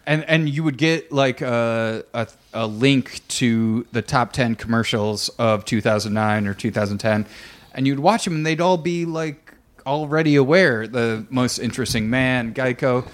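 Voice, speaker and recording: A man with an ordinary mid-range voice chatting casually in a group conversation. He talks loosely, stringing thoughts together with frequent fillers, the relaxed way a comedy writer talks shop. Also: mid-range voice, unhurried. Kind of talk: casual chat.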